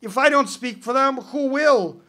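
A man's voice: very high-pitched, unhurried, sharp tone, voice pushed up, heavily acted. A man reading a poem aloud, voicing a fussy, anxious male parrot in prim, indignant tones. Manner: worried, indignant, prim speech. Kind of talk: poetry reading, acted dialogue.

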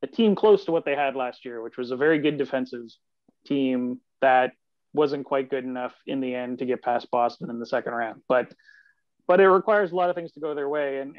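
Dry lo-fi call sound, man in his forties, mid pitch, sports analysis. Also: dry recording. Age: 40s. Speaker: sports columnist, podcast guest. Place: room at home on video call. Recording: dull lo-fi video-call audio.

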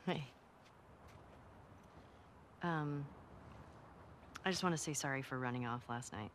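A woman's voice: extremely low pitch, slow, fairly bright tone, slight background noise, full character voice. An actress playing a guarded young woman survivor, clipped, dry and awkward, in a naturalistic video game scene. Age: young adult.

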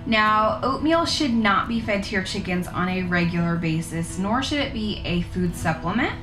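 A woman's voice: medium pitch, animated, normal speed, roomy sound, music in the background. Chatty woman explaining a recipe. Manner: chatty, conversational, with fillers and restarts. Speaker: homesteading author and video host. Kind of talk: casual how-to talk to camera. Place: living room, seated on a couch.

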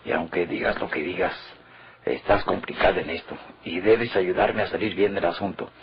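An actor playing a cold, controlling Mexican killer in an old radionovela, terse and commanding. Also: male voice, low-pitched, moderate pace, breathy edge. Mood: calculating. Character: cold, controlling male murderer on the run. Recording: noisy, muffled vintage radio recording.

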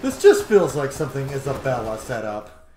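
A man's voice: medium pitch, very animated, normal speed, background noise. A man in his thirties giving playful, sweary, casual commentary while playing a video game. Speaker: game streamer. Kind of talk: casual let's play commentary. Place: small indoor room. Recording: roomy echo, game music behind. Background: video game music and effects.

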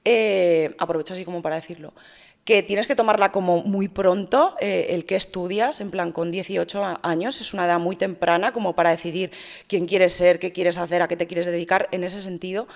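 A woman's voice: lowish voice, steady tone, brisk, creaky. A woman, chatting as an interview guest with many fillers, into a studio mic on a stand. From Madrid, Spain. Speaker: guest, fitness influencer. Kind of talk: casual interview talk. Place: large gym hall. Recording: reverberant hall.

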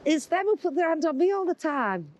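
A woman's voice: very high-pitched, big pitch swings, brisk, darkish tone, no room sound, dull audio. A young woman bantering cheekily with her co-host in a car. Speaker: prank show co-host. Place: inside a car.

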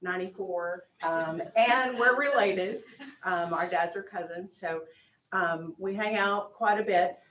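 A woman's voice: fairly low pitch, unhurried, a bit bright, roomy sound, slight background noise, low-quality recording. A woman presenting informally at a school board meeting, telling a story with fillers and light laughs. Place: meeting room, large indoor.

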